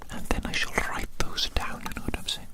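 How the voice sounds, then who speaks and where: Whispering ASMR roleplayer, fully unvoiced. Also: fairly quick. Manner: fussy, methodical, repeats phrases softly. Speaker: ASMR performer playing an observer measuring a little creature.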